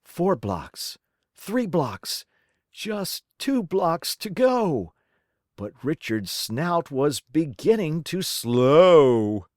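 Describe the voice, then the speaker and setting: A woman reading a children's rhyming storybook aloud in a theatrical, deliberate, rhythmic way. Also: deep voice, big pitch swings, a little mellow, dry recording, studio quality. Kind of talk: expressive children's storybook narration.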